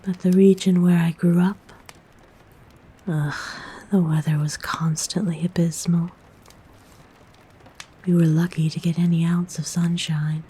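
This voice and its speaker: A woman voicing a kind, gentle forest witch in a soft, breathy whisper, soothing and tender, for an ASMR roleplay. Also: fairly low pitch, little pitch movement, unhurried, pauses often, a little hiss or hum, natural voice. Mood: fond, nostalgic.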